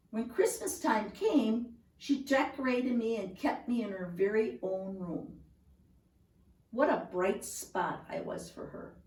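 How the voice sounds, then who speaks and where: A woman reading a children's picture book aloud in a measured, deliberate storytelling manner, recorded at home. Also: a little high, a little mellow. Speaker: storybook reader. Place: indoors at home. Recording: roomy home recording, distant mic.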